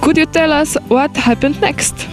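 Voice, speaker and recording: A woman's voice: high voice, measured pace. A woman reading a scripted history presentation carefully. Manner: reads carefully. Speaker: student presenter. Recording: music playing under the voice.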